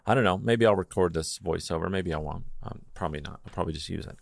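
Gravelly low-voiced male podcast host. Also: no room sound, studio quality. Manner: rambling, halting with many fillers. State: feeling a little low. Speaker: opera chorus singer. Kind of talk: casual solo intro and interview.

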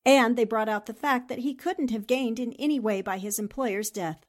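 A woman's voice: on the high side, moderate pace, close to an everyday voice. A woman playing a determined, principled newspaperwoman in a 1940s radio drama, speaking in an assertive, articulate and polite way. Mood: resolute, challenging. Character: determined, principled newspaperwoman investigating a murder case.

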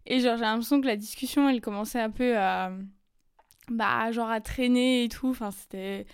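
Chatty young French woman, crisp dry voice. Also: fairly high pitch, no room sound. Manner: chatty, many fillers and quick asides. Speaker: podcast host. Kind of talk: casual storytelling monologue. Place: lives in Germany.

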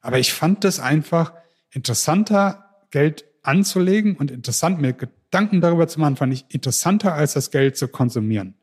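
A man from Germany, a podcast interview guest, telling his story in a lively way with frequent restarts and repeated words. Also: a little high, brisk, husky.

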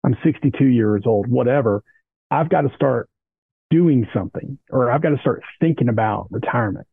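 A man's voice: medium pitch, vocal fry, slightly rough, no echo. Man explaining over a tinny line. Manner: measured, explanatory, frequent "you know" and "kind of". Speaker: business advisor guest. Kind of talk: explanatory interview talk. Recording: dry tinny phone-like line.

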